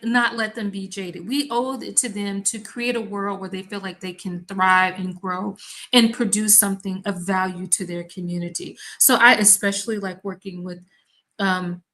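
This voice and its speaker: Woman academic from Mississippi, bright and forceful, lively conversational storyteller. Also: mid-range voice. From Mississippi, USA. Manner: animated storyteller, acts out exchanges. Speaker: academic researcher. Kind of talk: conversational panel discussion.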